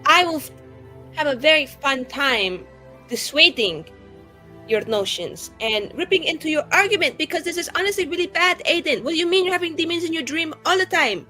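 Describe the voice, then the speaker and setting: A woman's voice: high voice, animated, fairly quick, sharp tone, soft background music. A woman playing a vain, sassy but worried companion in a tabletop game, arguing with teasing, emphatic exasperation. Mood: teasing, haughty.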